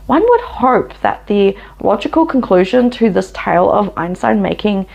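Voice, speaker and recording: Chatty New Zealand woman with some fry. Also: medium pitch, very animated, moderate pace, darkish tone. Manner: opinionated, chatty, laughs often. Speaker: history channel host. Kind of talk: casual history storytelling.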